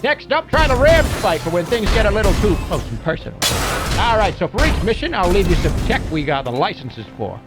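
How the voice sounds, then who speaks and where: A man's voice: high-pitched, sing-song, fairly quick, over loud music. A man playing a wisecracking, gun-loving mech mechanic in a video game, with folksy, slangy talk. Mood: instructive, breezy. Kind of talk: video game character voice lines.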